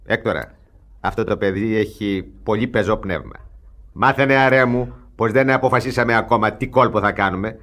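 An actor playing an effusive, fussy older swindler in a radio comedy, fatherly and excitable. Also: fairly low pitch, close to an everyday voice. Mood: scolding. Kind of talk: theatrical comedy radio acting.

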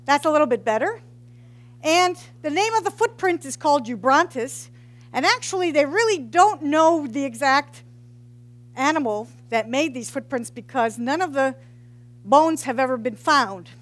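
A woman's voice: high-pitched, lively intonation, normal speed. A middle-aged woman, a geology professor, giving an informal public science talk into a headset mic in a large hall, joking and quizzing the audience. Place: large hall with brick walls.

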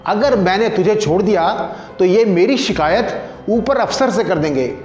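A man plays a corrupt police station chief from a short story, domineering and sly. The voice is high and deliberate, scolding in a commanding way. The sound is dull and echoey. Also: animated. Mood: grave, confiding. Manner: scolding, commanding, falsely sympathetic. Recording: roomy echo, dull lo-fi sound.

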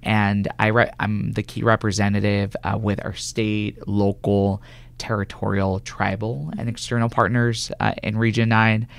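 Gravelly, low-pitched man from California. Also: level tone, unhurried, no echo. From California, United States. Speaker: guest, government health official. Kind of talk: conversational interview answers. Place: radio studio.

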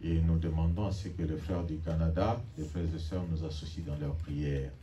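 A man's voice: a bass voice, fairly even intonation, normal speed, warm tone. A man giving formal church announcements and leading call-and-response during a service. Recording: distant mic with faint noise.

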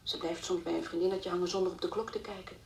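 Worried sick mum in an old radio drama, stammery, creaky voice, bit of hiss. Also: female voice, fairly low pitch, fairly even intonation, a little mellow. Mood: anxious, distraught. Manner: halting, stammering, repeats words. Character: distraught anxious mother of a missing young girl. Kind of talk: Dutch radio play acting, naturalistic. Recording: old radio play recording, faint hiss.

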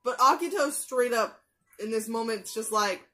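A woman's voice: on the high side, fairly bright tone. Chatty young woman on headset mic. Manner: chatty, many fillers and restarts, quick asides. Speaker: anime reaction youtuber. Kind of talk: casual rambling commentary. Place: small bedroom with posters. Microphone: gaming headset mic.

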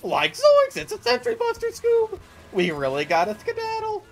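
A man voicing a scared, lanky young cartoon slacker in a high, cracking, nervous voice. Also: huge pitch swings, normal speed, gravelly, soft background music, heavily acted.

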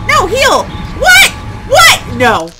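A woman's voice: extremely high pitch, wildly swooping pitch, drawn-out words, heavy background noise. A woman giving casual, exclamatory gameplay commentary as a let's play host. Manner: exclamatory, swearing. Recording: loud game audio behind voice. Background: video game music and effects.

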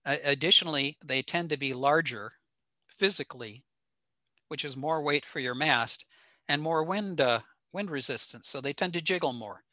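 A man's voice: on the high side, normal speed, bright, forceful tone, no room sound. A man presenting technical material informally with slides over a video call, in a plain-spoken, matter-of-fact manner. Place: indoor home room, over a video call.

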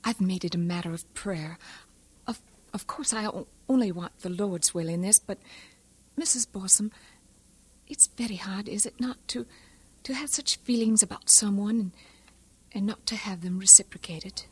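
A woman's voice: medium pitch, moderate pace, husky, lightly acted. An actress playing an earnest, shy young missionary woman in an audio drama, hesitant and confiding.

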